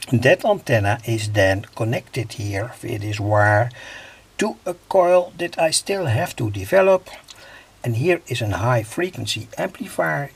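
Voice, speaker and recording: A man with a husky voice walks through a homemade project in an informal, technical way. He takes his time, breaking sentences into short halting pieces, and his tone has a gravelly creak to it. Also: fairly high pitch. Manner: halting, piecemeal phrasing with pauses. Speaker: hobby electronics video maker. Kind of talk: informal hands-on technical explanation.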